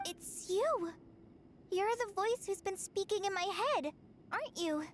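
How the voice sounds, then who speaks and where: Very high-pitched eager little assistant. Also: female voice, lively intonation, measured pace, slight background noise. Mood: startled. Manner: stammering, exclamatory, polite. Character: small, eager, excitable young assistant. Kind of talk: video game voice acting.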